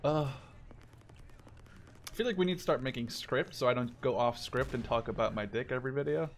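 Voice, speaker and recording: A man in his twenties from the Netherlands, chatting casually and joking with a friend while playing a shooter game, with sudden excited shouts. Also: medium pitch, a little fast, slight background noise. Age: 20s. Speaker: gaming channel host. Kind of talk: casual gameplay chat with a friend.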